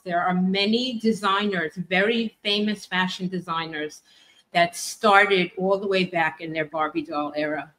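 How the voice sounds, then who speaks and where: A woman's voice: on the low side, a bit bright, poor audio. A woman hosting a talk show interview, gushing with effusive praise for her guest.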